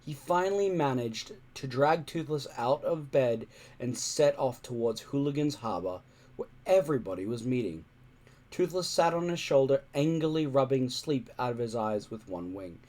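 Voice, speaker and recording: A man reading a children's adventure story aloud in expressive narration. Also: mid-range voice, moderate pace. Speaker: reader.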